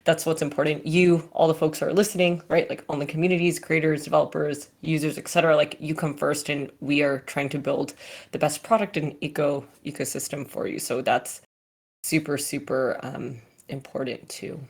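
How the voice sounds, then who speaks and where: Chatty low-voiced woman, lots of fillers. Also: fairly even intonation, normal speed. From California. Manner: chatty, many fillers and restarts. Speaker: company CEO answering questions. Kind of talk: casual Q&A.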